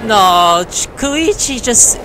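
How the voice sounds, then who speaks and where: A woman gamer reacts casually to what she plays, swearing freely and excitably. Her pitch swings wildly from line to line, with game music clearly behind her. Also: slow, low-quality recording. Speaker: gaming streamer. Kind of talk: casual gameplay commentary.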